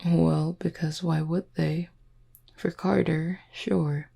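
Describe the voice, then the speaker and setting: A woman acts out a flirtatious werewolf pack beta in an intimate audio drama. Her voice sits low and dark, with plenty of gravelly fry. She talks in a confident, teasing way, as if to one person. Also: level tone, slow, exaggerated voice. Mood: flirty, sincere. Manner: teasing, flirtatious, smooth-talking.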